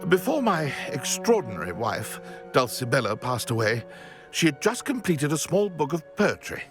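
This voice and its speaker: A man's voice: fairly high pitch, big pitch swings, moderate pace, over music. A man playing a refined, shaken widower in a video game, with a florid, dramatic manner. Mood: mournful, shaken. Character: shaken, mournful widower, refined and fearful gentleman. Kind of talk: video game voice acting, scripted dialogue.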